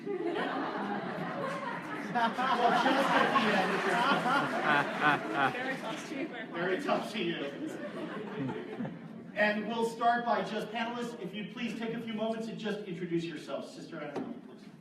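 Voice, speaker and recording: A man's voice: high voice, lively intonation, slow pace, long pauses, a loud crowd. A priest in his fifties moderating a conference panel, speaking earnestly with frequent restarts. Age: 50s. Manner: earnest, with restarts and repeated words. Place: large echoey conference hall. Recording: echoey hall, distant, audible noise.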